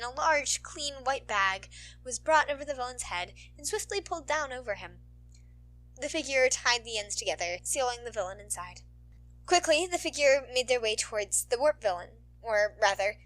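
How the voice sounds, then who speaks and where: A woman reading a scripted story aloud as an expressive narrator. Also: on the high side, rough recording. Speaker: narrator, podfic reader.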